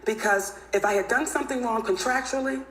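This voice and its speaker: A woman guest in a televised interview. She speaks in a deliberate, insistent way. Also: a little high. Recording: echoey clip with faint noise.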